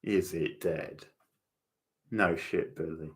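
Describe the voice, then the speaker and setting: A man with a low voice and an unhurried delivery, commenting casually as he hosts a watchalong. He is informal and chatty, often reacting in short bursts. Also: steady tone. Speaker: watchalong host and reviewer.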